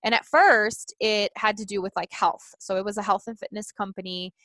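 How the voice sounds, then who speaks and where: A woman in her thirties, a native Portuguese speaker, telling her story as a guest in a casual interview. She speaks earnestly and thinks aloud, restarting phrases and filling with "you know." Her voice sits at an everyday mid pitch and sounds dry and close, with no room around it. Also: fairly even intonation, moderate pace, a bit bright, dry recording. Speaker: life coach guest.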